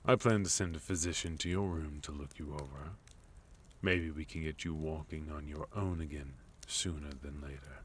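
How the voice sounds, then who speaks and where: A man playing a sophisticated, courteous villain leader in a soft-spoken, gently teasing ASMR roleplay. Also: extremely low pitch, fairly even intonation, moderate pace, breathy edge, full character voice. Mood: gentle, caring. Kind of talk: ASMR roleplay, intimate scripted monologue to listener.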